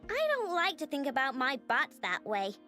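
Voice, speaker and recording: A little girl inventor, high and childlike. She is blunt and earnest, with lively swings in pitch, in video game style over faint music. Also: normal speed, heavily acted. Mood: earnest, worried.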